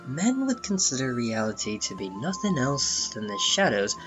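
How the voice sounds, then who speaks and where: A man's voice: medium pitch, wildly swooping pitch, moderate pace, dull audio. A man narrating a comic book dramatically, with theatrical, emphatic phrasing. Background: background music.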